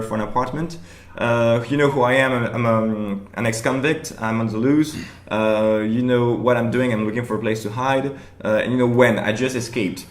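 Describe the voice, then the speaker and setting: Halting man in an echoey room. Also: medium pitch, fairly bright tone. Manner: halting, many restarts, laughs often. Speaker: improv performer reviewing his show. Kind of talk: casual commentary over show footage.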